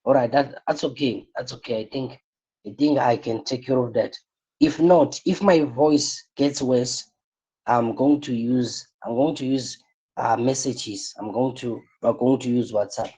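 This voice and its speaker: Weary husky-voiced man, business coach in a live class, dark mellow tone. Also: medium pitch, fairly even intonation, a little slow, poor audio. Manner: repeats points, asks rhetorical "what?" questions. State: tired, voice strained.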